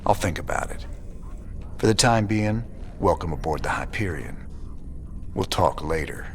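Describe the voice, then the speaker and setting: An actor playing a wary, gruff rebel space commander in a video game, speaking in a laconic, folksy drawl. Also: male voice, low-pitched, animated, moderate pace. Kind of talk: video game cutscene acting.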